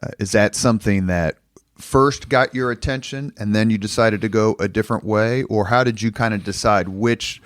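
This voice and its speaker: Guy hosting an interview podcast, lowish voice, really dry close sound. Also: moderate pace, no room sound. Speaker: podcast host. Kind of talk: interview with scripted introduction.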